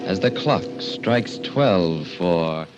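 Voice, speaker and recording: An actor as the ominous announcer of a 1940s radio thriller, solemn and portentous. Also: male voice, deep voice, expressive, drawn-out words, exaggerated voice. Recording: dull old radio recording. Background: loud music.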